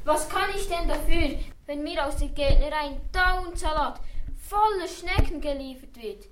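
A woman's voice: sits high, lively intonation, a little slow, fairly bright tone, slight background noise, voice pushed up. A woman voicing a frightened old male cook who pleads and whimpers in a storybook reading. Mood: pleading.

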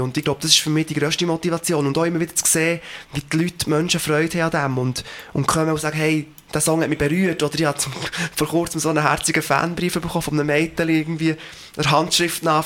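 Earnest young Swiss German singer, slightly high. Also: male voice, a bit bright. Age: 20s. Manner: reflective, earnest, many fillers. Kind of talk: interview answers.

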